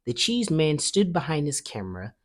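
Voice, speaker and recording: Very low-pitched, expressive woman storyteller. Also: normal speed. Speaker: storybook reader. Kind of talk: expressive children's read-aloud narration.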